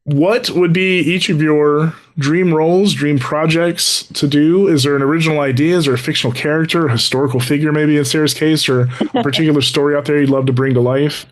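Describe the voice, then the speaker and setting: A man's voice: a little high, steady tone, brisk, hardly any pauses. A man hosting a casual interview, easygoing and reassuring, with a jokey touch. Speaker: podcast host, interviewer.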